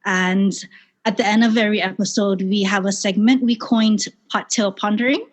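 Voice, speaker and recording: A woman from Hawaii, a podcast guest, speaking in a measured, steady way as if from notes. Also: mid-range voice.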